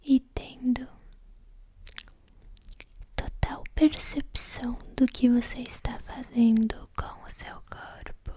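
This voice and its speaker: A Brazilian woman whispers a guided ASMR meditation in a soft, mellow voice. She goes extremely slowly and keeps her tone very even, with gentle, affectionate soothing. The sound is slightly muffled. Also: high voice. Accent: Brazilian Portuguese. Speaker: ASMR creator guiding a sleep meditation.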